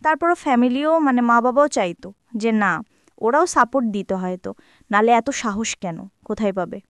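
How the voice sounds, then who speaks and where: A woman's voice: on the high side, animated, normal speed, clear, resonant tone, no room sound. A woman of twenty-three with a Bangladeshi Bengali accent, telling her personal life story as an interview guest.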